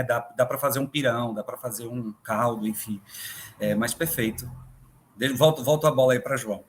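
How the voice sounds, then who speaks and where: A filmmaker on an online panel, telling stories in an animated way and acting out quotes over a video call. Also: male voice, mid-range voice, a little hiss or hum. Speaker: filmmaker and writer.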